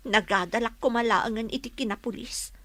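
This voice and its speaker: An actress playing a determined, compassionate young village daughter in a radio drama. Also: female voice, a little high, moderate pace, fairly bright tone, dry recording, natural voice. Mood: grieved, resolute.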